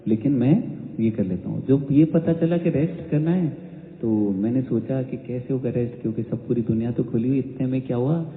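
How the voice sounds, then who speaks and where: A man's voice: mid-range voice, normal speed. A man, a singer, shares a personal testimony at a devotional gathering, telling his story and mixing Hindi with English as he goes. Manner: storytelling, switching often between Hindi and English. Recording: echoey hall, tinny phone-like sound.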